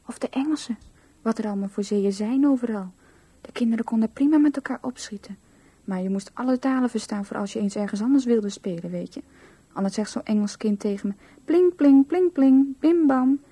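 A dreamy little girl in a radio play. Her voice is rather high, very soft and breathy, with a naive, hushed way of wondering aloud. It is recorded close and dry. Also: no echo. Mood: playful. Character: small dreamy chattering girl, the widow's childhood self. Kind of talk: radio drama acting.

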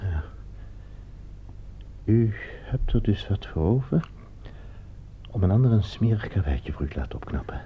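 Wary, low-voiced desperate addict. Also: male voice, big pitch swings, normal speed, long pauses. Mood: cautious, interested. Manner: hesitant, guarded. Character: wary, desperate male morphine addict, disgraced former cadet. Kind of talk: Dutch radio play acting. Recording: muffled, noisy old radio play recording.

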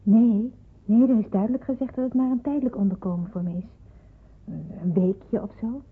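An actress playing an earnest, devout young woman in wartime hiding in a radio drama, with a husky, breathy voice. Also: on the high side, brisk, no echo. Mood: polite, hesitant. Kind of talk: radio drama acting with narrated monologue. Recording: muffled, phone-like radio play recording.

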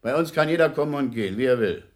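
An actor playing a reproving, principled male anarchist in a German radio crime drama, forceful in manner. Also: medium pitch, animated, fast, lightly acted. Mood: indignant. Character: firm, indignant male anarchist defending tolerance against a bully.

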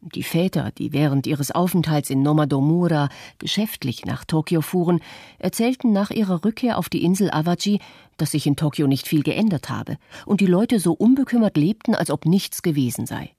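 A woman voicing an earnest, critical middle-aged witness in a scripted documentary radio play, with a husky voice. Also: low voice, moderate pace, warm tone, dry recording, studio quality. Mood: earnest, reflective.

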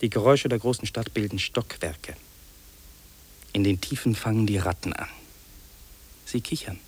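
An actor playing a lonely, fearful city man in a 1950s German radio drama, speaking in solemn, deliberate, incantatory phrases. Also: on the low side, breathy edge. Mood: melancholy, brooding. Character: lonely, fearful, brooding city man listing what he hears. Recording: old radio play on cassette tape.

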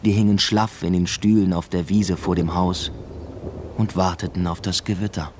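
An actor playing a brooding husband and father, narrating a literary radio drama in a measured, introspective way. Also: sits low, steady tone, noisy background, close to an everyday voice. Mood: reflective.

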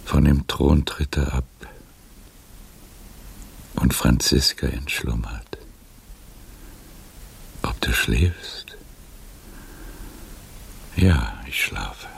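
An older man acting in a German radio drama as a weary, tender, melancholy old aristocrat, speaking verse in a hushed, measured way. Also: extremely low pitch, gravelly, slight background noise, heavily acted. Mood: hushed, wistful. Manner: hushed, slow, measured delivery.

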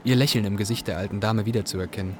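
A man's voice: lowish voice, fairly even intonation, fast, background noise, lightly acted. An actor playing a young, weary Iraqi refugee telling his story earnestly in a radio drama. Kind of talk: scripted radio drama narration.

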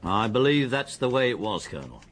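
An actor with an English accent playing a methodical, deferential police detective in a radio drama, his voice husky. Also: medium pitch, sing-song, fairly bright tone. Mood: confident, deferential. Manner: deliberate, factual, deferential. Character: methodical, deferential male police detective, confident and matter-of-fact. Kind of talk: British radio drama acting.

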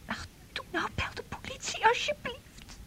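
An actress playing a frightened, pleading young woman in a radio drama, speaking in an anxious, breathy whisper. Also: very high voice, expressive, moderate pace, fairly bright tone. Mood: pleading, alarmed. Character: frightened, pleading young female night-time waker.

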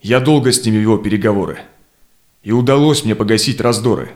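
An actor playing a steadfast, conciliatory Cossack envoy in a verse audio drama, speaking in measured, persuasive declamation. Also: male voice, lowish voice, close to an everyday voice. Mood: sincere, firm. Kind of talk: theatrical verse drama acting.

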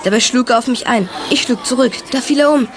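A woman's voice: fairly high pitch, fast talker, background noise, close to an everyday voice. A woman playing a tough, impulsive teenage boy in a children's radio play, earnestly telling his story in short sentences. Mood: urgent.

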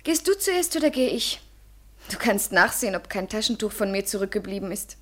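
An actress playing a tender, fragile woman parting from her lover in an intimate German radio play, hushed and restrained. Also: mid-range voice, big pitch swings, fairly quick. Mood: brave, wistful. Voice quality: breathy, soft.

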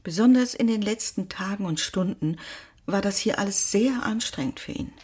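An actress voicing a tired, anxious mother who speaks softly and coaxingly to her son in a radio play. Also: medium pitch, moderate pace, natural voice. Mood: hushed. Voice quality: breathy, often whispering. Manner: soft, coaxing, hushed. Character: tired anxious middle-aged mother, gentle.

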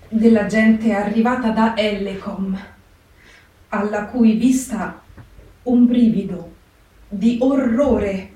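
An actress playing a reflective young wartime diarist in a solemn, measured theatrical reading with dramatic pauses. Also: female voice, mid-range voice, mellow, lightly acted. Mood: sombre. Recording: very echoey hall, distant microphone.

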